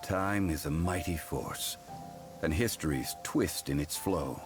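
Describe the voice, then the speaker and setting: An actor voicing an ancient god in human form, a calm and dignified scholarly man who speaks formally and without hurry, with game music underneath. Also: extremely low pitch. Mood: solemn. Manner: measured, formal and unhurried. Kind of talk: game cutscene voice acting.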